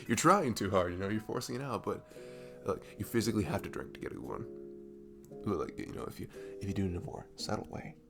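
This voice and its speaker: A man's voice: lowish voice, very animated, fast talker, vocal fry, music in the background. A man playing a sweet, cute barista in an intimate ASMR roleplay, chatting in a rambling, self-deprecating and hesitant way. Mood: relaxed, musing. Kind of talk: intimate ASMR roleplay, soft improvised-sounding chat.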